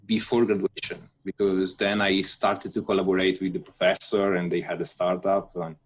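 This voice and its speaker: A man from northern Italy, answering interview questions in a relaxed, hesitant way with fillers. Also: low voice, moderate pace, poor audio. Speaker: guest, software architect.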